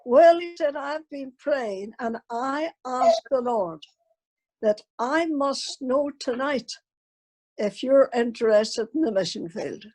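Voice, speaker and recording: An elderly grandmother with a fairly high voice tells unscripted stories from her life to her family. The woman recounts them as anecdotes, speaking the remembered dialogue as she goes. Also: expressive, takes it slowly, dark tone, low-quality recording. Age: older, elderly grandmother. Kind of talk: unscripted personal storytelling to family.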